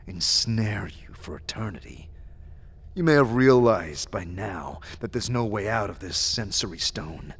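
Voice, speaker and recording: A man's voice: low voice, a bit bright, husky, full character voice. A man voicing a paranoid, mad earlier self of an immortal man in an audio drama, erratic between sly muttering and frantic outbursts.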